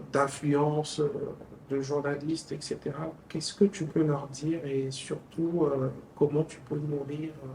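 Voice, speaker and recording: A man hosts a relaxed interview podcast, pausing often with fillers. His voice is raspy with fry and sits a bit high. The sound is echoey and slightly distant, with a faint hiss. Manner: hesitant, many fillers, laughs easily. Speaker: podcast host. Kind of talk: casual interview chat. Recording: echoey room, mic at a distance.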